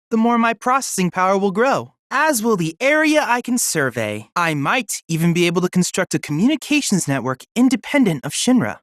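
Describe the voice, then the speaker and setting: An actor voicing a polite, eager teenage cyborg research assistant in a video game, speaking formally, precisely and cheerfully. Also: male voice, sits high, a bit bright, no echo, studio quality. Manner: formal, precise, courteous, chipper. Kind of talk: scripted video game character dialogue.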